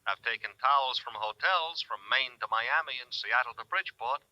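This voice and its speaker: An actor playing a gruff, impatient male talent agent on a telephone in a 1950s radio drama, blunt and sarcastic. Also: medium pitch, expressive, normal speed, sharp tone, no room sound. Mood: irritated, sarcastic. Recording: dull, dry 1950s radio phone-call recording.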